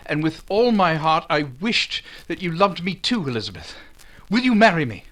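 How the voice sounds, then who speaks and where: An actor playing a proud, ardent Regency gentleman suitor in old-time radio romance style, formal and earnest. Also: on the high side, very animated. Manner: formal, earnest, halting when moved.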